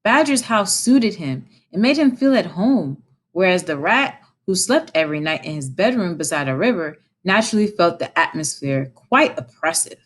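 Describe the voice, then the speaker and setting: Woman, read-aloud narrator, expressive storytelling, slightly low voice, wide lively intonation. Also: normal speed. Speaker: storyteller, teacher reading aloud.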